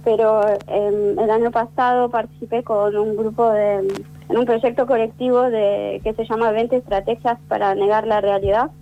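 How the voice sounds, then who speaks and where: A woman guest, French by first language, speaking thoughtfully with many pauses and restarts in an interview. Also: fairly high pitch, level tone, highs cut off. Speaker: interview guest, actress and writer. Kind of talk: conversational interview.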